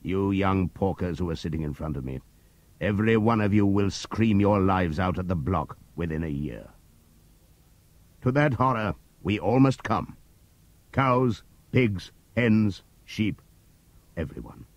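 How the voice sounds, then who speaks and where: A man voicing an old prize boar delivering a passionate speech to the farm animals, moving between loud appeals and hushed asides. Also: deep voice, lively intonation, a little slow, dry recording. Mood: impassioned. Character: old prize boar, wise, rousing orator. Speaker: audiobook reader. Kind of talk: dramatic audiobook reading.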